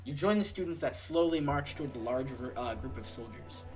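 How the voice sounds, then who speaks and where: A man's voice: mid-range voice, animated, brisk, soft background music. A man reading a video game's narration aloud to friends in an informal way, with casual asides. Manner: informal, swearing, joking asides. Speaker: host reading the game aloud.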